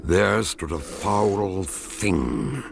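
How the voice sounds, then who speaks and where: An actor playing a grave British ancestor who solemnly recounts a dreadful family legend in a gothic radio drama. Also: male voice, low-pitched, expressive, creaky, heavy background noise, full character voice. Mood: ominous dread. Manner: slow-building, ominous, solemn, archaic storytelling.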